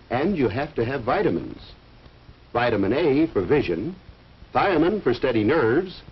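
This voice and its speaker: A man's voice: medium pitch, slightly rough. A man playing an earnest, fatherly coach and biology teacher who lectures in 1950s educational film style. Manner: didactic, earnest, explanatory. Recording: muffled, noisy old educational film soundtrack.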